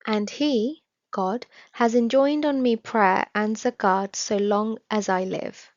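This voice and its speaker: A woman reading a scripted religious study text aloud in a measured, formal manner. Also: mid-range voice, steady tone, darkish tone, dry recording. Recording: slightly dull, dry recording.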